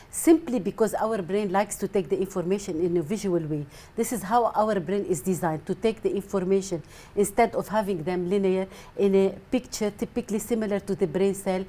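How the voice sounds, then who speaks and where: A woman's voice: medium pitch, dark tone. A woman speaking English as a guest coach in a TV interview, explaining earnestly and repeating her points. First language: Arabic. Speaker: guest, mind mapping coach. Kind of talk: TV studio interview.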